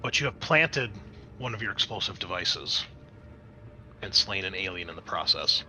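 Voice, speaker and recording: Man, game master, crisp bright tone, tabletop roleplay narration, dramatic then conversational. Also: low-pitched, animated, normal speed, slight background noise. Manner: dramatic scene-setting mixed with casual rules talk.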